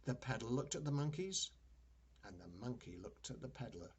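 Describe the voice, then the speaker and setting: Older grandpa guy reading a kids book, kinda raspy, really up and down delivery, expressive. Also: medium pitch, normal speed. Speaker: grandfather storyteller. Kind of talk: children's storybook read-aloud.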